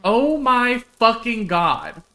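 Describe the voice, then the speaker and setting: A man's voice: very high-pitched, big pitch swings, very slow, sharp tone. A man streaming a visual novel, reading it aloud and reacting casually.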